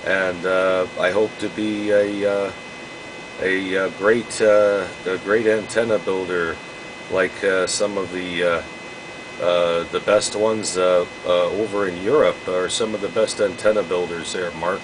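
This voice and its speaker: A man with a low voice chats casually as a hobby radio operator. He speaks haltingly, rambles and repeats himself. A steady, noticeable hum runs behind his voice. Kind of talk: casual radio contact chat. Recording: constant hum and noise behind voice.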